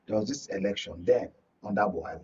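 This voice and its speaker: Male Nigerian panel analyst, heavily raspy, emphatic and repetitive, muffled off-mic sound. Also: on the low side, fast talker, a little mellow. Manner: emphatic, repeats words and phrases for stress. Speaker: studio guest, journalist and media analyst. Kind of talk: live political panel discussion. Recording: muffled, distant-mic broadcast audio.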